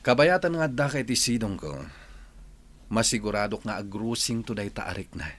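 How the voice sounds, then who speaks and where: A man's voice: lowish voice, normal speed, fairly bright tone. An actor playing an arrogant, domineering wealthy man in a radio drama. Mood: overbearing. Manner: demanding, domineering. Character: arrogant, wealthy, bossy suitor and household master. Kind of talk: Ilocano radio drama acting.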